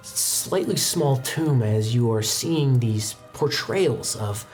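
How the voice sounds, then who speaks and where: A man's voice: mid-range voice, expressive, slow pace, a little mellow. A man narrating a tabletop roleplaying game as game master, with dramatic description and casual asides. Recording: background music under the voice.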